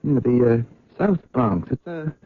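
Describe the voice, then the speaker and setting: An actor playing an everyday New York cab driver in a 1970s radio drama, hesitant and stammering. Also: male voice, medium pitch, expressive, measured pace, breathy edge. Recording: dull vintage radio broadcast.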